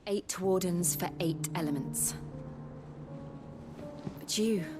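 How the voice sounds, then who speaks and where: A woman's voice: fairly low pitch, takes it slowly. An actress playing a caring, composed young noblewoman, earnest and concerned in a game cutscene. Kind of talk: dramatic video game cutscene acting. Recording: music behind the voice.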